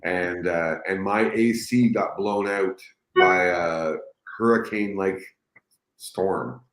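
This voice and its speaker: A man's voice: deep voice, takes it slowly, fairly bright tone, roomy sound. A man hosting a live interview, enthusiastic and rambling, often restarting his sentences. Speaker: host.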